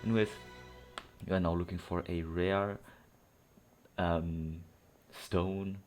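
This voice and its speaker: Deep-voiced man rambling through a game. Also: flat delivery, slow, darkish tone. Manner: rambling, informal, hesitant. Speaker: gaming streamer. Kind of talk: casual game playthrough commentary. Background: game music.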